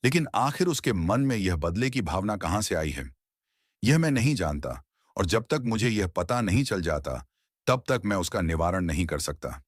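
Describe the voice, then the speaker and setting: Man acting a tantric holy man, very low voice, solemn, self-assured, horror story, dry sound. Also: very animated, normal speed, dry recording. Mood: stern. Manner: solemn, declarative.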